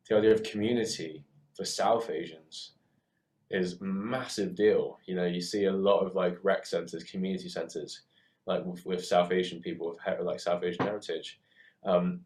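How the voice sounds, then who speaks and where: Deep-voiced young southern English man. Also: a little mellow. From UK, born in Hong Kong. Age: 20s. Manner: hesitant, thoughtful, rephrasing often. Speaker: theatre worker and photoshoot co-producer. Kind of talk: reflective casual chat.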